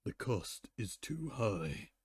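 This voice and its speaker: Man reading as a slow, weary immortal mare. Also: on the low side, very animated, a little hiss or hum. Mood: weary pleading. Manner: slow, deliberate, solemn. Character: weary, pleading immortal female pony, aged and sorrowful. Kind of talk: dramatic fan fiction reading.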